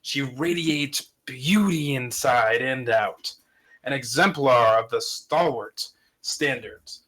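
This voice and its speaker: A man's voice: medium pitch, slow, a bit bright. A man playing a devoted fatherly dragon in a fantasy script table read, with earnest, grandiose storybook phrasing. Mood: admiring.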